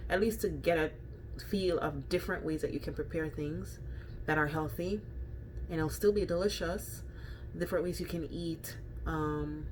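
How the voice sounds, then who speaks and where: Low-voiced Jamaican woman chatting casually. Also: darkish tone, slight background noise, rough recording. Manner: chatty, rambling, frequent ums and restarts. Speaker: vegan food vlogger. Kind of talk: casual talk to camera.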